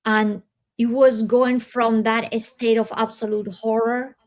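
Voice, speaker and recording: A woman from Colombia, slowly and reflectively telling her own story as an interview guest. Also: on the high side, little pitch movement. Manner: slow, reflective, with soft laughs and pauses.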